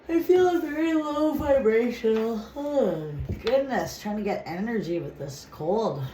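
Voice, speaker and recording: A woman with a stuffy nose from a cold, giving a chatty, rambling tarot reading and reading card messages aloud. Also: fairly low pitch. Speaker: tarot reader. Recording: echoey room with clear noise.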